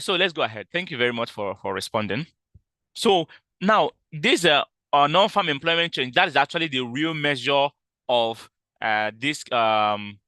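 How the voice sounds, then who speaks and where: A loud man teaches a live trading session over a call, repeating himself and stumbling through fillers as he explains. His voice is bright and crisp, and the sound is dry and close. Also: on the high side, no echo. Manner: explanatory, repeats points, frequent fillers and restarts. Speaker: trading instructor. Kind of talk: live online trading class.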